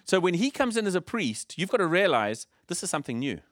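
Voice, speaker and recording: Man, Zimbabwean accent, slightly high voice, conversational preaching, explanatory, rhetorical questions, dry acoustics. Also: very animated, quick pace, no echo. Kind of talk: conversational teaching sermon.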